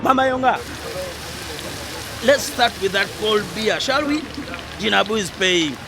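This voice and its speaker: An actor in a radio drama playing a teasing, cocky village domino player with a playful, boastful manner. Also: male voice, high voice, expressive, a little slow, gravelly, a crowd in the background, full character voice.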